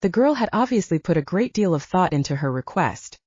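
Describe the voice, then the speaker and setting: A woman reads first-person fiction in a slightly low, expressive voice. The sound is very dry and close, a little dull in the top end, as an audiobook narrator would deliver it. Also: fairly quick, husky, no echo.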